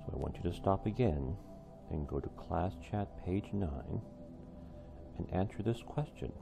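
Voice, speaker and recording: A man's voice: low voice, lively intonation, measured pace, creaky, music in the background. A man reading a storybook aloud expressively, like a teacher with a class.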